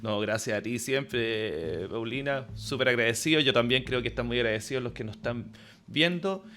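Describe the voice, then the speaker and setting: A man's voice: mid-range voice, expressive, moderate pace, pushed and bright, faint music behind. A man with a Chilean accent, casually hosting an online interview. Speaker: interview host.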